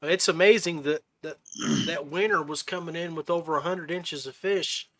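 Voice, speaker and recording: A man's voice: on the high side, normal speed, fairly bright tone. A man hosting a podcast in a casual chat, with a folksy manner, often rephrasing his questions as he goes. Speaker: podcast host. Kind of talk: casual chat interview about fishing.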